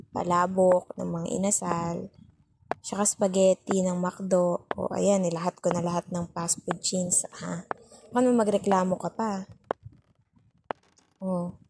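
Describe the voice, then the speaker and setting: A woman's voice: on the low side, vocal fry, background noise. A woman with a Filipino accent playing a bossy but caring, worried young girlfriend who scolds and sulks in an intimate ASMR roleplay. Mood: sulky concern. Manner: scolding, sulky, teasing, repeats herself for emphasis.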